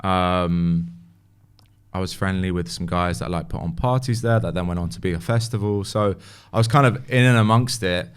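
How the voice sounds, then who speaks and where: Smooth, low-pitched man, early thirties, podcast guest, relaxed and rambling. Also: normal speed. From born in Paris, English parents. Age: 30s. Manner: relaxed, rambling, many fillers and hedges. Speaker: guest, music industry A&R. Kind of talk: casual podcast interview.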